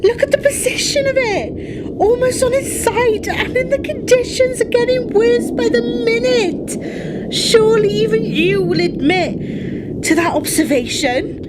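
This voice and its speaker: A woman's voice: extremely high pitch, unhurried. A woman playing a spirited, sarcastic and headstrong young society lady in a radio play, arch and theatrical. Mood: haughty, sarcastic. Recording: heavy ambient noise behind the voice.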